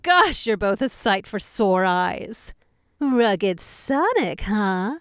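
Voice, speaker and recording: A woman's voice: mid-range voice, sing-song, slow, fairly bright tone, clear, resonant tone, no room sound, studio quality. A woman playing a flirty, sly female bat spy in a fan audio drama, with a coy, dramatic delivery.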